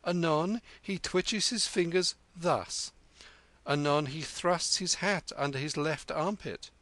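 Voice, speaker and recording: A man's voice: fairly high pitch, slow, fairly bright tone, no echo, pitched above the performer's own voice. A man reading aloud, voicing a clever thirteen-year-old street boy in a raised sing-song manner. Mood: mimicking.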